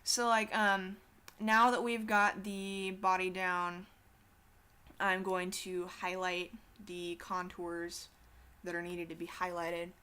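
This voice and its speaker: Bright chatty teenage girl rambling. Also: fairly low pitch, monotone, slow, clean tone. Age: teens. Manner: chatty, swears casually, self-deprecating asides. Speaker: artist streaming a drawing session. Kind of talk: rambling casual chat while drawing.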